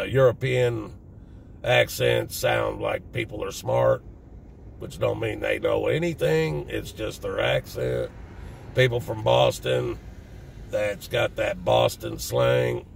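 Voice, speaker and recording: Southern US man, fifties, raspy and low, very gravelly; plain-spoken, slightly defensive vlogger talking off the cuff. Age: 50s. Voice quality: raspy, deep smoker's voice. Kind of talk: casual personal talk to viewers. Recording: distant mic with faint background noise.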